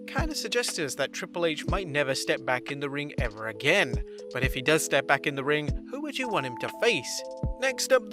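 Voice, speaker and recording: A man narrating scripted wrestling news with casual asides. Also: fairly high pitch. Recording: voice-over with loud background music.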